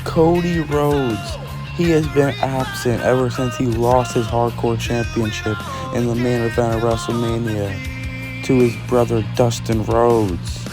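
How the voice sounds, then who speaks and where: A man's voice: mid-range voice, fairly even intonation, unhurried, over music. A man doing pro wrestling commentary and introductions, drawing out his phrases as he announces.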